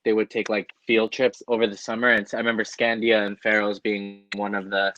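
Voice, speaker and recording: A man's voice: lowish voice, flat delivery, brisk, a bit bright, lo-fi sound. A man from Southern California, a comedian guest on a podcast, chatting over a video call in a dry, self-deprecating, rambling way.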